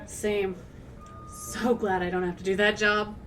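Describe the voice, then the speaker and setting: A woman's voice: medium pitch, little pitch movement, measured pace, a bit bright, natural voice. A woman playing a laid-back, sardonic crew member in an audio drama, with a drawling delivery.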